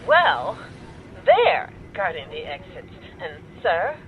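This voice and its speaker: A woman's voice: fairly low pitch, wildly swooping pitch, slow, exaggerated voice. A woman playing an earnest, uneasy store hostage in an audio drama, speaking over a telephone. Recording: muffled phone line, faint background noise.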